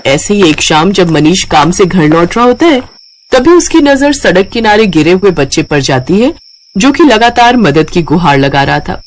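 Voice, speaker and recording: Storyteller with lively, swinging intonation. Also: medium pitch, normal speed. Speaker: narrator of a moral story cartoon. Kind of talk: scripted storybook narration.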